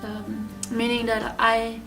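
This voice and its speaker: A woman in her thirties from Ecuador telling her own story in an interview, speaking haltingly with many fillers and restarts. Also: fairly high pitch, completely flat delivery, distant mic, over music. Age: 30s. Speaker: interview guest.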